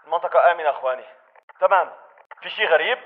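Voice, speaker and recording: Actor as fast, muffled Levantine militant man. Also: fairly high pitch, close to an everyday voice. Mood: watchful. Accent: Levantine Arabic. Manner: terse, clipped lines to comrades. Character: wary male militant fighter on patrol. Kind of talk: video game character dialogue.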